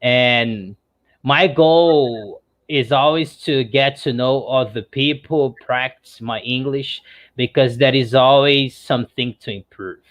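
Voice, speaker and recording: Slow-talking man. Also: mid-range voice, a bit bright. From Brazil. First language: Portuguese. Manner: earnest and reflective. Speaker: regular participant. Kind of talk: casual self-introduction. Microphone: computer mic on a video call.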